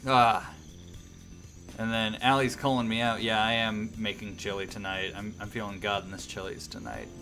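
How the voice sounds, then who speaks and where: Low-voiced man hosting, music behind. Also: normal speed, sharp tone. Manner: enthusiastic. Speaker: host of a radio-style livestream. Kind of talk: rambling casual chat with viewers.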